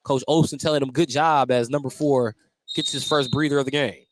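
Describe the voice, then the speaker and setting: A man's voice: mid-range voice, fairly even intonation, a little fast, low-quality recording. A man doing live play-by-play basketball commentary for radio, conversational and given to joking asides between calls. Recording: clear background noise, live gym broadcast.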